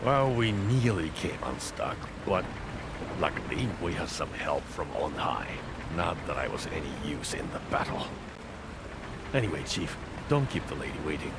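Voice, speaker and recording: An actor playing a battle-worn samurai in a video game, blunt and world-weary, with Japanese words dropped in. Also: male voice, rumbling low, sing-song, moderate pace, heavy background noise, exaggerated voice. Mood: weary, gruff. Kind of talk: video game cutscene voice acting.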